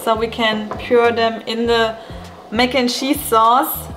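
A woman's voice: fairly high pitch, flat delivery, takes it slowly, a bit bright, faint music behind. A young woman co-hosting a home cooking show, cheerfully explaining each step of a recipe on a lapel mic in a home kitchen. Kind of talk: walking through a recipe step by step.

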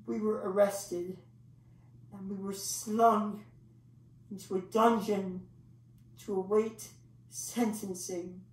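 A woman performing a dramatic monologue as a grandiloquent old English male clown, pausing for effect as he tells his bittersweet tale. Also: medium pitch, a little mellow, lightly acted. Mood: somber reminiscence. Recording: echoey room, distant microphone.

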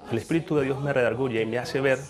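A man's voice: medium pitch, fairly even intonation, fast talker. A man, giving an earnest, faith-filled interview testimony. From Colombia. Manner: earnest, faith-laden, with restarts. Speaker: business owner interviewee. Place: indoor room before a world map.

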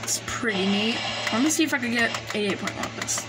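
A woman with a fairly high voice talks casually through a show-and-tell, hesitant and rambling. She is recorded on a handheld phone indoors, with clear noise behind her. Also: quick pace. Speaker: collector presenting gadgets. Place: small indoor room at a desk. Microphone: handheld phone camera. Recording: noisy handheld recording, background hiss. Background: noise and radio devices.

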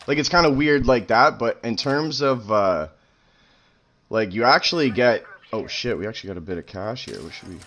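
A man's voice: medium pitch, lively intonation, moderate pace, fairly bright tone. A man streaming a shooter game from home, chatting loosely with teammates, joking and swearing, talking into a headset mic. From Canada. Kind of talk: casual gaming chat with teammates. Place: small room at home.

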